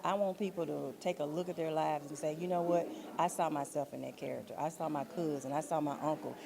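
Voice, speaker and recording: Female interviewee, low register, earnest and deliberate, busy crowd in the background. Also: steady tone, gravelly. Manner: earnest, faith-minded, deliberate. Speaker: playwright, director and producer being interviewed.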